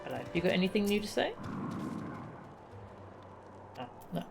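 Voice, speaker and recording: A woman's voice: medium pitch, big pitch swings, moderate pace, gravelly. A woman chatting casually as she streams a video game. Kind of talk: casual livestream chat while playing. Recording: game music behind the voice. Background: game music.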